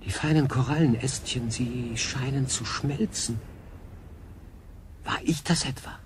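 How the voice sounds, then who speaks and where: A man's voice: medium pitch, measured pace, natural voice. An actor playing a stranded, thoughtful and conflicted man in a radio drama, speaking earnestly and reflectively. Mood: shaken, apologetic. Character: stranded human castaway, male, thoughtful and conflicted. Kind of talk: German radio drama acting. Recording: clear background noise.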